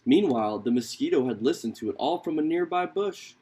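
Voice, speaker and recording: A man tells an animal folktale aloud. His voice sits a little high, and he reads expressively in a storybook style for children. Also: animated, a little fast, warm tone, slightly rough.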